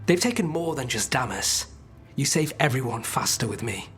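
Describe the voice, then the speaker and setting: A man's voice: mid-range voice, expressive, normal speed, a bit bright, soft background music, natural voice. A man playing a wary, streetwise criminal who bargains bluntly and persuasively in a fantasy video game. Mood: wary, insistent.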